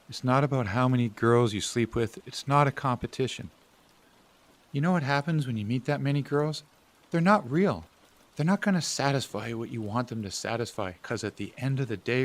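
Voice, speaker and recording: A man's voice: medium pitch, lightly acted. A man acting a frustrated, caring family-man brother in an audio drama, blunt and emphatic. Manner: blunt, emphatic, swinging between exasperated and hushed.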